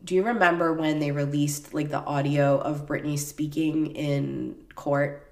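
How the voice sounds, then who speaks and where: Stuffed-up woman with a low, deep voice. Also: measured pace, echoey room. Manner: chatty, animated storyteller. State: stuffed up, voice deeper after illness. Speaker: podcast co-host. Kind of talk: casual chat.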